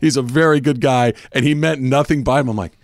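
A man's voice: medium pitch, fairly quick, no echo, clean studio sound. A man in his early fifties hosting a talk show, telling stories in a casual, self-mocking way with emphatic repetition. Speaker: sports talk radio host. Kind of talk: casual banter and storytelling.

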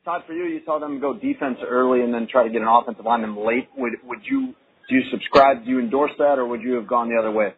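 Male reporter, quick and hesitant with ums, phone-line sound. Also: medium pitch, sing-song, creaky, breathy edge. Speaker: reporter asking questions. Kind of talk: press call question. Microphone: telephone.

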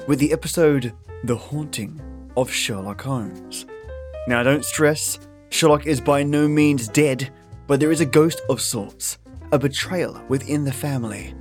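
Enthusiastic podcast host over music. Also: male voice, mid-range voice. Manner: enthusiastic, chatty. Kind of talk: casual scripted podcast introduction. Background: background guitar music.

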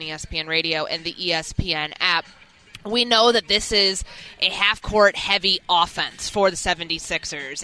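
A woman's voice: lowish voice, sharp tone, poor audio. A woman hosting a sports radio talk show with a polished broadcast delivery. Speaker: radio host.

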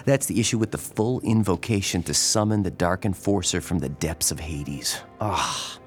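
A man's voice: low-pitched, moderate pace, faint music behind, close to an everyday voice. A man playing a friendly, easygoing young comic book fan in a radio drama, chatty and enthusiastic.

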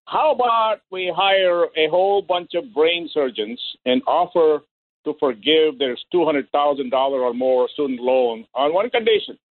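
A man's voice: on the high side, moderate pace, dry recording. A man calling into a talk radio show, joking sarcastically and then turning earnest. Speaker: caller. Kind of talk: phone-in comment. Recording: tinny phone line.

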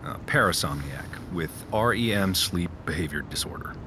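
A man's voice: animated, normal speed. An actor playing a weary, hesitant man with a sleep disorder in a naturalistic audio drama. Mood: tired, low-key. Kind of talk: naturalistic audio drama acting, some inner narration. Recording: rumbling vehicle noise behind the voice.

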